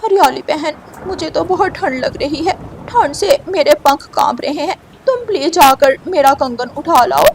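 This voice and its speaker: A woman puts on a crafty crow wife for a children's cartoon. Her voice is high and insistent, with a complaining edge. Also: moderate pace, few pauses, a little hiss or hum. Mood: crafty, pleading.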